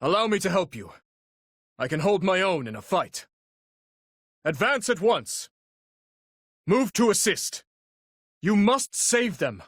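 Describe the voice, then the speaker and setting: Measured, noble young knight-commander. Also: male voice, sits high, sing-song, a bit bright, slightly rough, no echo, clean studio sound, full character voice. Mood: focused, commanding. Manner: formal, measured, earnest delivery. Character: noble, earnest young male knight-commander, solemn and resolute. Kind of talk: dramatic video game voice acting.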